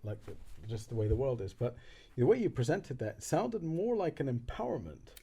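A man's voice: mid-range voice, sing-song, moderate pace, mellow, husky. A man from London talking conversationally as a guest, probing with questions and reflecting on what he hears. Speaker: podcast guest, rabbi. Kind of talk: conversational discussion.

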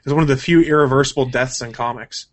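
A man in casual co-host mode, speaking at a middling pitch. He talks conversationally, like an opinionated fan discussing comics and cartoons. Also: fast. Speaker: co-host of a gaming and comics news show.

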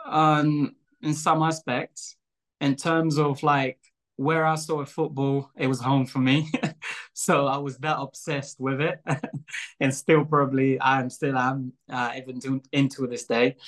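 A man's voice: a little high, a bit bright. A young man from Angola, whose first language is Portuguese, talking casually in an interview, rambling with lots of fillers and small laughs. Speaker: guest, show creator.

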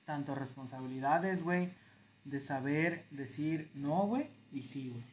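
Mexican male podcast co-host, a touch high-pitched, loose slangy storyteller who acts out bits. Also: a little slow. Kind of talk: casual chat.

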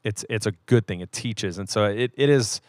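A man's voice: on the low side, moderate pace, a little mellow, no room sound. A man co-hosting a podcast in casual, folksy banter, feeling his way through sentences and repeating phrases. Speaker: podcast co-host.

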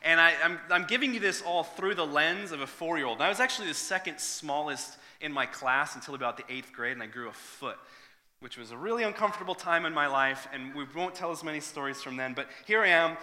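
Pastor preaching in a reverberant hall. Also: male voice, on the high side, expressive, brisk, pushed and bright. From Northern Ireland. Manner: earnest, conversational, with frequent restarts and fillers. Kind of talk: sermon with prayer and personal story.

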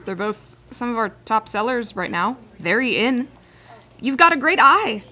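A woman's voice: fairly high pitch, big pitch swings, moderate pace. A woman acting in an audio drama as a harried, polite but wry retail floor manager. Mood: polite, placating. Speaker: actor. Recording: muffled, noisy hidden-bug recording with shop ambience.

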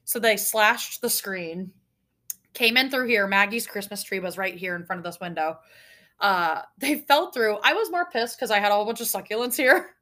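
A woman's voice: mid-range voice, moderate pace. A young woman podcast co-host with a nasal voice, telling a story in casual chat, swearing often. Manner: animated, swears often, tells stories breathlessly.

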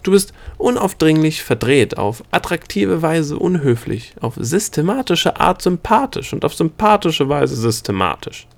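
A man's voice: a little high, sing-song, measured pace, vocal fry, few pauses, voice pushed up, full character voice. A man doing a cackling, ranting gnome. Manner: cackling, lecturing, worked up into a rage. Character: excitable male gnome with color-changing scales.

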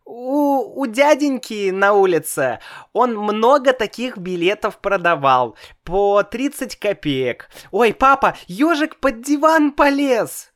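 A man voicing a stubborn, tearful little boy in a storybook reading, with a high, whining delivery. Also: big pitch swings, slow pace, fairly bright tone, heavily acted. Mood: eager. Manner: high whiny pleading, sobbing.

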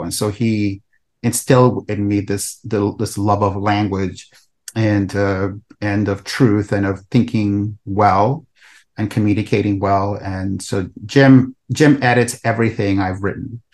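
A middle-aged man from El Salvador, an author answering interview questions in a thoughtful, conversational way. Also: on the low side. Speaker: author and researcher guest.

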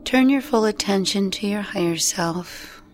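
Woman, guided meditation, very slow pace, gentle delivery, long pauses, music behind the voice. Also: medium pitch.

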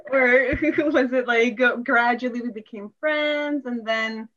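A woman hosting a church podcast, bubbly and teasing, quick to laugh as she asks questions. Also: a little high, a bit bright, dull audio. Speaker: podcast host.

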